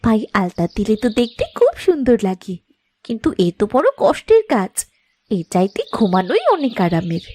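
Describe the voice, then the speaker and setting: A woman playing a lazy, whiny young village daughter in an animated cartoon, with dramatic complaints. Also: on the high side, normal speed. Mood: lazy, complaining.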